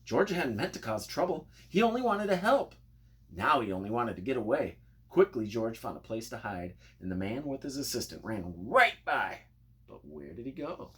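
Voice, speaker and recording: A man narrating a children's storybook in an expressive, playful way, speaking directly to young listeners. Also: medium pitch, moderate pace. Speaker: storybook reader.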